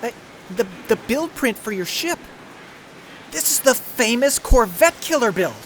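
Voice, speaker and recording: A man plays a friendly, excitable male starship mechanic in a sci-fi radio drama. His delivery swings widely in pitch, with faint noise in the background. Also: unhurried, heavily acted. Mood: amazed. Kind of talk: audio drama acting.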